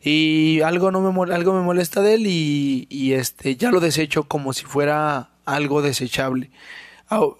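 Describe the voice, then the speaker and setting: Hoarse Mexican man, sick throat, cursing. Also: a little high, fairly even intonation, measured pace, no room sound, clean studio sound. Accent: Mexican Spanish. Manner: rambling and profane, clears his throat often. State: sore, hoarse throat from illness. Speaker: podcast host. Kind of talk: casual solo podcast monologue.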